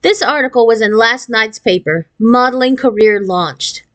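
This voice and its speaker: A woman puts on a brisk storybook schoolteacher, her pitch lifted well up. She addresses her class with beaming, instructive authority. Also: lightly acted. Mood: delighted announcement.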